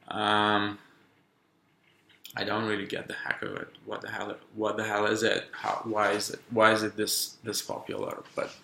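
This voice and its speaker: A man in his thirties thinks aloud in a loose, halting monologue, circling back and restarting his sentences. His voice is low and gravelly with a bright, pressed edge. The room around him rings with echo. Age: 30s. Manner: halting, musing, many restarts and fillers. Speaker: podcaster. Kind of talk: rambling solo monologue. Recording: echoey room.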